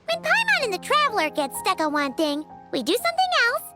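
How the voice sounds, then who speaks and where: A very high, squeaky little fairy chirps with big melodic swings. She is tiny, excitable and childlike, a video game sidekick over loud music. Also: fairly quick, heavily acted. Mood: cheerful, helpful. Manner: refers to self in third person, excitable.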